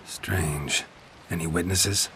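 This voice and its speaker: An actor playing a stoic, dry-witted monster hunter who talks in a terse deadpan in a video game. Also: male voice, low voice, takes it slowly, slight background noise, exaggerated voice. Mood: dry, businesslike.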